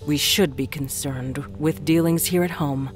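A woman acting in an audio drama as a stern, devout church official, speaking in a solemn, deliberate, imperious manner. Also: sits low. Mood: grave, zealous. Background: faint music.

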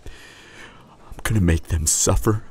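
A man playing a tender, grieving young hunter boyfriend in an intimate, emotional audio drama, halting and husky, often dropping toward a whisper. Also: deep voice, darkish tone. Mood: vengeful, low. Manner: halting, emotional, long pauses. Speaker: audio roleplay voice actor.

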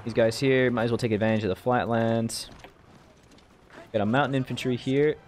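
A man in his thirties with a gravelly voice, livestreaming a strategy game from home, chatty and joking while reading chat and thanking followers. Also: medium pitch, steady tone, moderate pace, a little hiss or hum. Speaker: streamer. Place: home streaming room, indoors.

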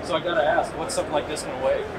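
A man's voice: fairly high pitch, fairly even intonation, rapid-fire, very noisy. A man in his thirties doing an upbeat video interview as a trade show host. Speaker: interviewer for an audio retailer. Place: busy indoor convention hall booth. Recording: loud trade show hall, distant mic. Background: loud exhibition hall ambience.

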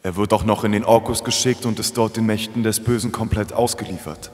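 A man's voice: lowish voice, steady tone, brisk, darkish tone, lightly acted. A man playing a grave, powerful archmage in an audio drama, speaking solemnly and ominously. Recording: reverberant hall, music behind.

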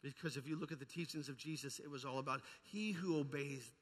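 A man's voice: mid-range voice, a little fast, breathy edge. A man preaching a fervent, emphatic charismatic sermon as a pastor, repeating phrases and putting questions to his congregation. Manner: puts rhetorical questions to the congregation. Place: large indoor church hall. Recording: long hall reverb, amplified church sound.

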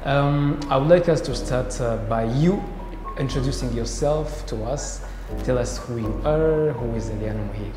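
A man's voice: mid-range voice, normal speed, hardly any pauses. Male interviewer, young adult, formal delivery, reverberant room with music behind. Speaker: interviewer, host. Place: indoor room with bare walls, seated.

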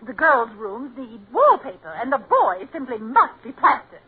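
A woman's voice: high-pitched, big pitch swings, normal speed. An American woman playing a haughty, easily offended club wife, indignant and gushing, in 1940s radio comedy. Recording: tinny 1940s radio recording, audience behind.

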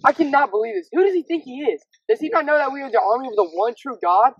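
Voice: high voice, lively intonation, fast talker, highs cut off, heavy background noise. A performer playing a bold, indignant young shepherd boy, earnest and declamatory, in an amateur Bible story.

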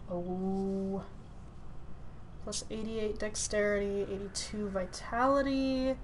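Slow-paced woman chatting over a game. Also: medium pitch, low-quality recording. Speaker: co-op gaming streamer. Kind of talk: casual gameplay chat. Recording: clear background noise from game stream.

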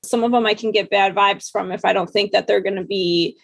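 Female podcast host, husky voice, dry close-miked sound, relaxed chatty delivery. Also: mid-range voice, a little fast, no echo. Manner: conversational, lots of "like" and "I mean". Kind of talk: casual interview chat.

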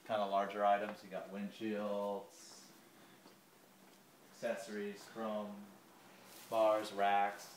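Man, 30s, casual shop-tour guide, low voice, echoey warehouse with a faint hum. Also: monotone, takes it slowly, long pauses. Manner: lists items while walking, filming handheld. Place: large indoor parts warehouse and shop. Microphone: handheld phone camera.

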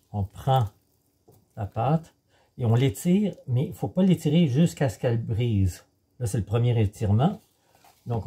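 Low, bright but husky male home baker. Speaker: home baker presenting a recipe. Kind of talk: step-by-step cooking demonstration. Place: home kitchen, indoors.

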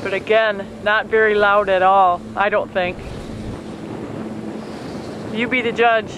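A woman's voice: medium pitch, level tone, slow pace. A woman narrating casually with a handheld camera outdoors at a wind turbine, talking plainly with small hesitations. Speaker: amateur videographer. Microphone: handheld camera mic. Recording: wind-buffeted outdoor camera audio with loud engine noise. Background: diesel truck idling and wind.

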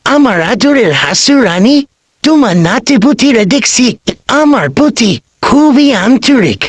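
A performer acts out a besotted old cartoon bridegroom. He speaks in a high voice at a slow, syrupy drawl, gushing with affection in a dry recording. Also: animated, no room sound. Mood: doting. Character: doting, gushing elderly bridegroom leader. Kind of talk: comic cartoon dialogue.

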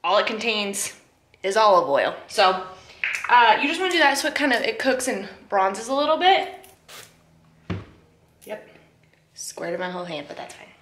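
A woman's voice: mid-range voice, very animated, moderate pace, pauses often, a bit bright, slight background noise. Flustered chatty young woman in an echoey kitchen. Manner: self-deprecating, flustered, chatty. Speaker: cooking vlogger. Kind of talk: casual cooking vlog. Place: small home kitchen, indoors. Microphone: camera across the kitchen. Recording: echoey kitchen, far camera mic.

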